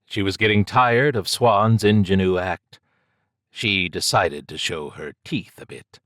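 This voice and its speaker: A man's voice: sits low, measured pace, fairly bright tone, no room sound, clean studio sound. A man reading scripted fiction as an audiobook narrator.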